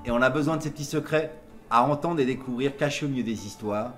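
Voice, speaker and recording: A man who tells stories around the world, speaking in an interview with an expressive, lilting delivery. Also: medium pitch, quick pace, soft background music. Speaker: storyteller.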